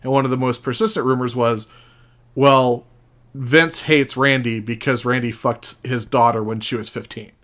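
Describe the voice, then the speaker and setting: A man in a casual podcast discussion, recounting stories in an animated, profane way as a guest. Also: mid-range voice, normal speed.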